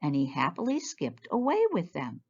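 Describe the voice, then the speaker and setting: A woman reads a picture book to children with a lively, expressive swing in her medium-pitched voice. The recording is a little dull and lo-fi. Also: normal speed, slightly rough. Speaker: children's storyteller. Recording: dull, lo-fi sound.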